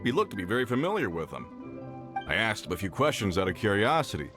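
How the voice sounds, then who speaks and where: A man's voice: fairly low pitch, big pitch swings, a little fast, over music. An older hearty mining foreman. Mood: hearty, chatty. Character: old mining foreman, friendly and boastful. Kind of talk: video game voice acting.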